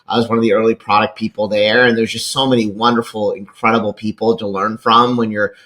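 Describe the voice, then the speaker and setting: A man's voice: fairly low pitch, level tone, fairly quick, fairly bright tone, rough recording. A man in his forties with an American accent talks conversationally as an interview guest, enthusiastic and often checking his points with a tag question. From rural Kentucky, United States. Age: 40s. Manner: enthusiastic, peppers points with "right?". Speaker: podcast guest, venture capitalist and lecturer.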